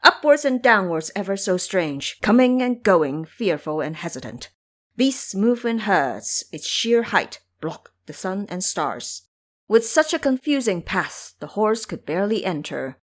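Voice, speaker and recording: A woman narrating a scripted audio drama in a theatrical, deliberate storytelling manner. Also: medium pitch, big pitch swings.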